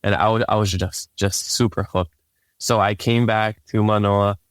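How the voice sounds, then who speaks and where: A young man from Hawaii, a native Hawaiian speaker, talks through his story in a low, fairly level voice. His tone is smooth and clear, and the recording is dry and close. He sounds laid-back and often repeats a word as he starts a sentence. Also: fairly even intonation, normal speed, dry recording. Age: young adult. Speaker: podcast guest. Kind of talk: casual interview storytelling.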